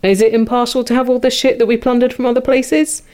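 A woman's voice: fairly high pitch, level tone, fast talker, a little mellow. A woman chatting satirically as a comedy panellist, with a wry, informal manner.

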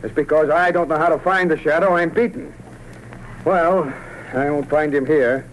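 A man plays an earnest, worried police official in an old radio drama. His voice sits fairly high and he speaks formally, over slight recording noise. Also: moderate pace, natural voice, low-quality recording. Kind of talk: 1940s radio acting.

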